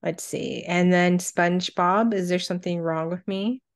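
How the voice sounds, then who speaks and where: A woman's voice: lowish voice, fairly even intonation, normal speed. A woman co-hosting a podcast, chatting casually and reacting her way through a countdown list. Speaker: podcast co-host.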